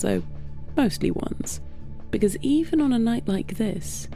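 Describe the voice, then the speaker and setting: Slow, gravelly, hushed woman storyteller. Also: on the low side. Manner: measured, hushed, dramatic pauses. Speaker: storyteller, narrator. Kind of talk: scripted literary story narration.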